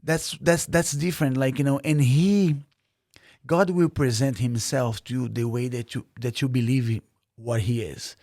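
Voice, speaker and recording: A man's voice: mid-range voice, steady tone, a little slow, darkish tone, no room sound, studio quality. A man from Brazil, a native Portuguese speaker with a Brazilian Portuguese accent, talking earnestly as a podcast guest with many fillers and restarts. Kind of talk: casual interview.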